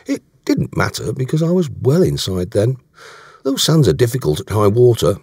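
Male narrator as English yachtsman, earnest, defensive, stubborn, high-set jumpy pitch, rough husky tone, storybook adventure. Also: big pitch swings, normal speed, darkish tone, no room sound, clean studio sound, heavily acted. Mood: dogged, defensive. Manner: short, defensive, offhand replies. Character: earnest, awkward, dogged young English yachtsman.

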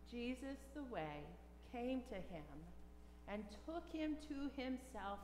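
An older woman, a pastor, giving a funeral sermon in a measured way with short, paused phrases. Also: a little high. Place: large church sanctuary. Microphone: lectern gooseneck microphone. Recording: echoey church hall, faint background noise.

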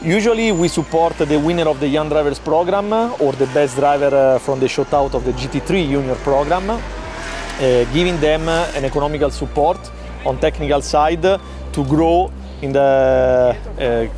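A man's voice: on the high side, normal speed. Hesitant man, music under him. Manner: hesitant, many filler sounds. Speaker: head of motorsport. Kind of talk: interview.

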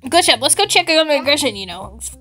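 A young woman improvising a Minecraft roleplay with friends in an excitable, dramatic, playful way. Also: sits high, fast talker. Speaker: gamer in a group roleplay video.

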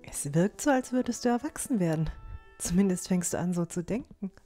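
A woman's voice: fairly low pitch, lively intonation, brisk, dark tone, soft background music. A woman playing an earnest, friendly teenage village girl in a fantasy audio drama. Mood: earnest, thoughtful.

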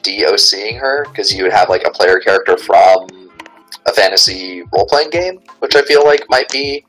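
A man's voice: normal speed, soft background music, low-quality recording. A man, not a native English speaker, chatting on a podcast in a hesitant way, often restarting his sentences. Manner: hesitant, restarts, pitch jumps up when animated. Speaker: podcast co-host. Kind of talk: casual podcast chat.

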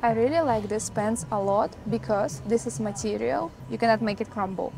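A young woman co-hosts a shopping vlog in a fairly high, light voice. She chats playfully in simple, non-native English phrasing. Music plays in the background. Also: normal speed, vocal fry. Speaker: co-host of travel vlog. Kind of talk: casual chat.

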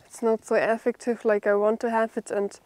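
A young woman from Germany with a German accent, a native German speaker, talking haltingly and unscripted as a bushcraft vlogger. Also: fairly high pitch, little pitch movement, darkish tone, no room sound. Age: young adult. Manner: halting, with pauses mid-sentence. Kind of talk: unscripted vlog talk to camera. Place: outdoors in a forest.

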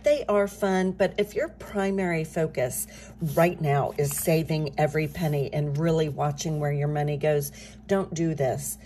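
Low-voiced middle-aged woman, scratchy just-woke-up voice, talking softly at her desk, faint room hum. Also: normal speed. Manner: soft-spoken, talking softly. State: slightly scratchy morning voice. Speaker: budgeting channel host. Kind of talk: casual chat while stuffing cash envelopes. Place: home desk, indoors.

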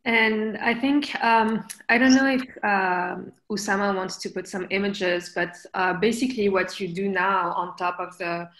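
A woman's voice: mid-range voice, fairly even intonation, measured pace, few pauses, a bit bright. A woman in her thirties moderating a casual art conversation over a video call from indoors. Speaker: interviewer, moderator. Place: indoors, room with archway. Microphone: computer mic on a video call. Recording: roomy, echoing video call.

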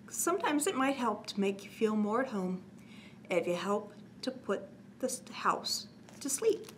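A middle-aged woman hosting story time, reading to young children with expressive, simple phrasing. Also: on the high side, a little hiss or hum. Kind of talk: children's read-aloud narration.

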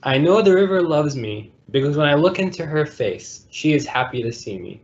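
A man's voice: a little high, very animated, normal speed. A man hosting a storytime, reading a children's picture book aloud over a video call. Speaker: storytime reader and host. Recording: dull lo-fi video call audio.

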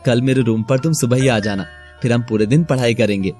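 An earnest, hesitant young Indian college student played by a man in an animated love story. His voice is soft-edged and mellow at an average pitch, over light music. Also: a little fast, lightly acted. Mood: friendly.

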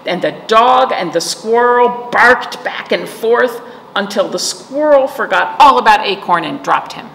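A middle-aged woman telling a children's story as a storytime host, animated and expressive, with asides to her listeners. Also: mid-range voice, a little slow, vocal fry. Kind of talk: expressive read-aloud storytelling for young children. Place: indoor library storytime room. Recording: roomy reverb with faint room noise.

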